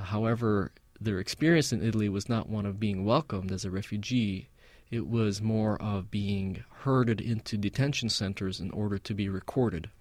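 A man of Palestinian background, a guest in an interview, telling stories in a measured, reflective way with frequent fillers. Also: fairly low pitch, darkish tone, dry recording. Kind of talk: conversational interview.

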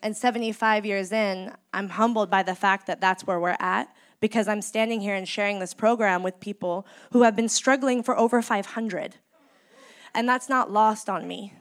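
A woman in her thirties, grieving, delivers an impassioned speech as a community organizer. She speaks in a measured, emphatic way and leans on deliberate pauses. Her voice sits at an ordinary middle pitch. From San Francisco Bay Area, United States. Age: 30s. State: in mourning.

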